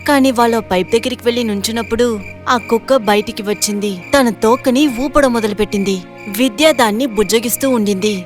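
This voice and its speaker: A woman narrator reading a scripted moral story for an animated video. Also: on the high side, quick pace, faint music behind.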